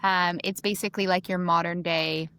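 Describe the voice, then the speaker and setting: A woman's voice: fairly low pitch, fairly even intonation, moderate pace, fairly bright tone, clear, resonant tone. Woman, podcast co-host, chatty, casual, reads off lists.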